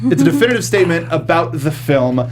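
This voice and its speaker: A man's voice: fairly high pitch, big pitch swings, fast talker, over a noisy crowd. A man hosting a casual panel talk show in a jokey, self-correcting manner. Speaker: host. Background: music.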